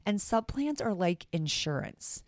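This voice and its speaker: A woman hosting her own podcast in casual solo talk, her voice raspy and hoarse from strained vocal cords, chatty and encouraging. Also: medium pitch, big pitch swings, measured pace, no echo. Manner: chatty, encouraging, laughs often. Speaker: podcast host, former teacher.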